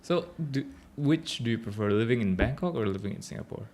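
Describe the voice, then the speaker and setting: A young man hosting a casual podcast, animated and heavy on swearing. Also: medium pitch, brisk, a little hiss or hum. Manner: animated, swears a lot, ranting. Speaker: podcast host.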